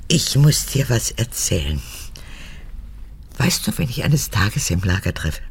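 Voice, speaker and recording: An actress in a radio drama playing an aged, needy and domineering mother, an unrepentant former guard, with a deep, gravelly voice that moves between pleading and hardness. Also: sing-song, a little slow, slight background noise, heavily acted. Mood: eager, confiding. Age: older.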